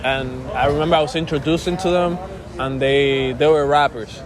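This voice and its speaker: A man's voice: mid-range voice, normal speed, a crowd in the background. A young man born and raised in Cuba, a musician talking casually as a guest, full of restarts and calling the host bro. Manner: casual, says bro a lot, rambling restarts. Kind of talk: casual interview chat.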